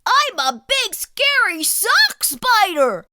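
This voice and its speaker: A woman voicing an excitable, easily scared young boy cat in a breathless, dramatic storybook read-aloud. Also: extremely high pitch, slow pace, pitched above the performer's own voice, heavily acted. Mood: boastful excitement.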